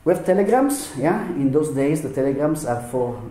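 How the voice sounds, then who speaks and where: A man's voice: fairly high pitch, expressive, moderate pace, dark tone. A man presenting archive documents at a symposium, hesitant, with frequent fillers and non-native phrasing. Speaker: historian presenter. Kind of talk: conference presentation. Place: lecture hall. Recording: echoey hall.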